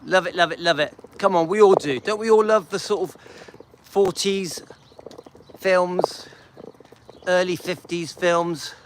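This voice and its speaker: A man's voice: sits high, unhurried, pauses often, noisy background. An English man live streaming on a dog walk, chatting with viewers and reading out their comments in a rambling, friendly way. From England.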